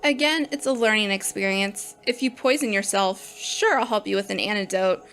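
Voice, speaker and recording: A woman's voice: medium pitch, normal speed, a bit bright, faint music behind, natural voice. A woman voicing an opinionated botany teacher who lectures bluntly and scoffs at textbooks. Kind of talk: audio drama acting.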